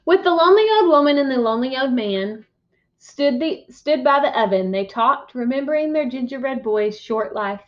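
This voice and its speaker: A woman teacher reading a picture book aloud to young children, expressive and instructive, pausing to ask questions. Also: sits high. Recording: dull, muffled lo-fi sound.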